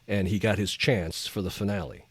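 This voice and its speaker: A man's voice: low-pitched, steady tone, fairly quick, breathy edge, no room sound. A man co-hosting a podcast in casual fan chat, effusive and emphatic, often repeating his phrases. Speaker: podcast co-host.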